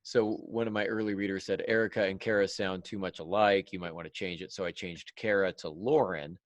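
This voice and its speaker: A man's voice: low voice, steady tone, fairly quick, dry recording. A man talking casually as a podcast host, with a self-deprecating, joking manner. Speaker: podcast host and fiction writer. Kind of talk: casual podcast discussion of writing tips.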